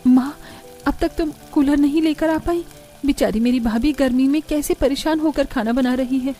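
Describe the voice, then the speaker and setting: A woman's voice: high voice, normal speed, warm tone, faint music behind, lightly acted. A woman voicing a showy, self-satisfied young daughter-in-law in a family cartoon. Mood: boastful, smug.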